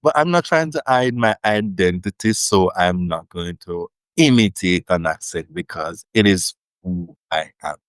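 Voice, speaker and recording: A man's voice: sits low, measured pace, hardly any pauses, dry recording. A Jamaican man, a linguist guest, telling stories in a thoughtful, emphatic way in a casual interview. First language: Jamaican Creole.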